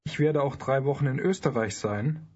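A man's voice: mid-range voice, a little mellow, slightly rough. A man modeling phrases for a language course, pronouncing each one carefully. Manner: careful, deliberate pronunciation. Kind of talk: scripted phrase repetition. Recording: dull lo-fi studio recording.

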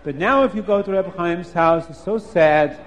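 A man's voice: high-pitched, normal speed, big-room sound, lightly acted. A man voicing village townsfolk who confide sad news. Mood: sorrowful. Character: gossiping village townsfolk, sorrowful.

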